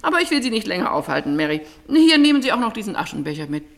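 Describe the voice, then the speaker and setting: Lady of the house, middle-aged, animated intonation, composed, probing, radio crime drama. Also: medium pitch, racing, vocal fry, a little hiss or hum. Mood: composed, suspicious. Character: composed mother and mistress of the house, suspicious. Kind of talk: German radio play acting.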